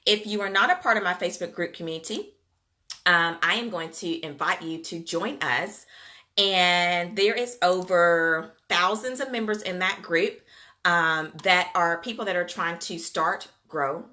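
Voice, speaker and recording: A woman with a Southern US accent chats to her livestream viewers in a folksy, talkative way. Her voice is bright and forward, with an easy, homespun flow. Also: on the low side, moderate pace. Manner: chatty, folksy, addresses viewers as "you guys" and "y'all". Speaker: livestream host, daycare business coach. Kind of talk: casual livestream chat to viewers. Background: Gulf Coast.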